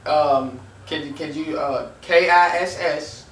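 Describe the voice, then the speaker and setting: A man's voice: fairly high pitch, slow pace, fairly bright tone. A young American man making a prank phone call. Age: teenager to young adult. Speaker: prank caller. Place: small room indoors on a couch. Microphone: camera a few feet away. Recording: echoey, distant camera mic, faint noise.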